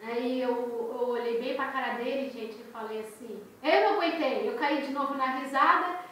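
A high, bright-voiced woman in her thirties with a Brazilian Portuguese accent, chatting to her viewers as she tells a story with lively expression. She sits at a desk in a small bedroom. The camera's mic picks her up from a little distance, and the room rings with strong echo. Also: moderate pace, a little hiss or hum. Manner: animated, expressive storyteller, gestures a lot. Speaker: crochet and crafts channel host. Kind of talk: casual storytelling vlog to viewers.

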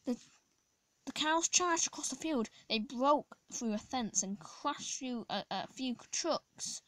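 A hoarse woman, a children's channel host, reading a picture book aloud at home, halting and restarting often. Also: on the high side, fairly bright tone, no room sound. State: hoarse from a sore throat. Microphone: phone camera over the book.

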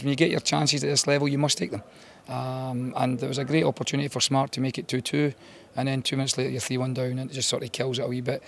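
A man's voice: medium pitch, gravelly, slight background noise. A Scottish man in his fifties, a football manager giving a post-match interview in an earnest, repetitive way. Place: bare indoor room with painted brick walls.

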